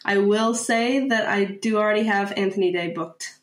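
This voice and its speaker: A woman's voice: mid-range voice, steady tone, normal speed. A woman hosting a podcast interview, speaking in a friendly, encouraging way. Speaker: podcast host. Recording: echoey room.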